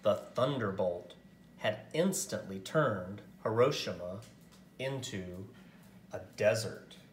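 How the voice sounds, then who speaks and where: A man narrating a picture book aloud as a storyteller, with expressive, dramatic and deliberate phrasing. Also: on the low side, reverberant, a little hiss or hum.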